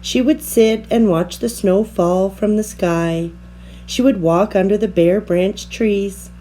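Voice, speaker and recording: A woman reading a children's story aloud with expressive, deliberate narration. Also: medium pitch, a little hiss or hum, low-quality recording. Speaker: storybook reader.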